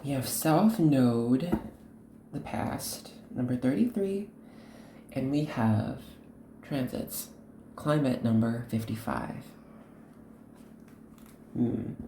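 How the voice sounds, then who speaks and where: Woman doing a tarot reading, really unhurried, earnest, choppy little phrases, bit of background noise. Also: very deep voice, reverberant. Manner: earnest, instructive, halting delivery in short phrases. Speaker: host, tarot card reader. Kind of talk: unscripted tarot reading addressed to the viewer.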